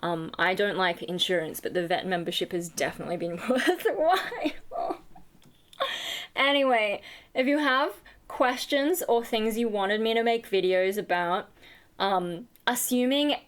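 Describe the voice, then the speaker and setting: A young woman with a high voice, rambling tiredly in a casual vlog chat, full of fillers and self-deprecating asides. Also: unhurried. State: tired, running on fumes. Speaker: vlogger and singer.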